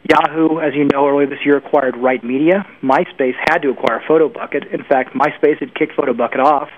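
A man's voice: fairly high pitch, steady tone, brisk. Man on a tinny phone line. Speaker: guest, internet industry pundit. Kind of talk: conversational industry commentary. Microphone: telephone call.

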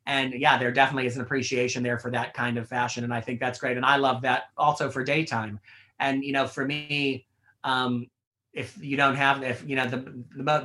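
A man's voice: mid-range voice, bright, forceful tone. A middle-aged man who works as a fashion editorial director, answering interview questions in a chatty, rambling way full of "you know" and "like".

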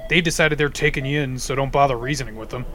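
A gruff, matter-of-fact ice villain, voiced by a man who drops cold puns with dry calm. His voice sits at an ordinary male pitch, and a faint hiss runs under the audio drama recording. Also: fast, close to an everyday voice. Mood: wry. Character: pun-loving adult male ice supervillain, gruff and practical. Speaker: voice actor.

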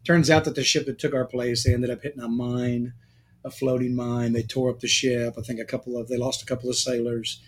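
A middle-aged man talking casually about his service years in a relaxed, rambling way. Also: mid-range voice, a little fast, a little hiss or hum. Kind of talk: casual conversation.

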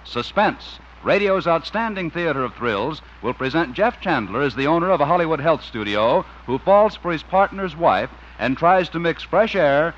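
Old time radio announcer guy, polished n punchy, high animated voice, muffled w music behind. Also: normal speed, hardly any pauses. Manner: polished, emphatic. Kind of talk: 1950s network radio announcing, scripted.

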